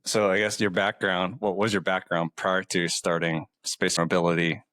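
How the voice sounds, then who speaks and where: Easygoing male podcast host, low voice, dry close mic, relaxed filler-heavy delivery. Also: moderate pace, dry recording. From Hawaii. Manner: laid-back, says "man" and "like" often. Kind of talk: casual interview chat.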